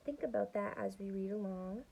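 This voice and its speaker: A young adult woman reading a storybook aloud to children as a student, expressive and pausing to explain things. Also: medium pitch, gravelly, breathy edge. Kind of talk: storybook read-aloud with teaching asides.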